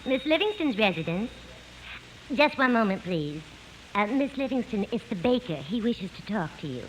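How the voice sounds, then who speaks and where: An actress playing a curious, chatty maid, polite but nosy, in a 1950s radio comedy. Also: female voice, medium pitch, very animated, normal speed, close to an everyday voice. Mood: inquisitive, polite. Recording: crackly 1950s radio recording.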